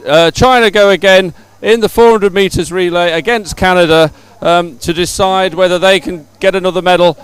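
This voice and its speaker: A man's voice: sits high, smooth. A man hosting a live sports stream in an informal, somewhat hesitant way. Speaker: livestream host.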